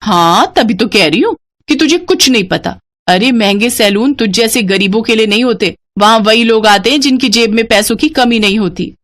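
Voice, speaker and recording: A woman's voice: on the high side, moderate pace, a bit bright, lightly acted. A woman voicing a boastful, snobbish young woman in a story, with a scornful, bragging manner. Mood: dismissive.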